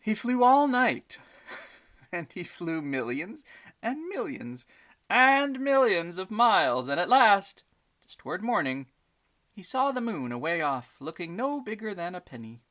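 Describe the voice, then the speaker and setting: A woman's voice: medium pitch, very animated, unhurried, fairly bright tone, dull audio. A woman reading a children's story aloud expressively, drawing out words with theatrical emphasis. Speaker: storybook reader. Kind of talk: expressive storytelling with chatty asides to young listeners.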